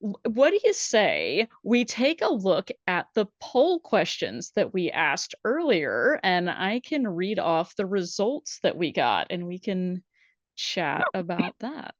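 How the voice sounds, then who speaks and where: A woman hosts a relaxed online talk show, chatty and encouraging. Her voice arrives dull and lo-fi, with a dry, close sound and no room echo. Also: lowish voice, slow pace. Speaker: host. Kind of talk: casual chat.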